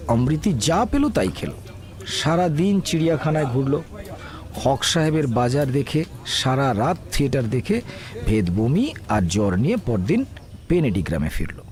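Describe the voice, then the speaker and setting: A man's voice: mid-range voice, darkish tone. A man narrating a scripted comic audio drama as a dramatic storyteller.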